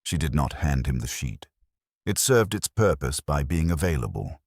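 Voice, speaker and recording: A man narrating a scripted mystery story in a measured, formal, literary manner. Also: a bass voice, big pitch swings, a little mellow, breathy edge, no echo, clean studio sound.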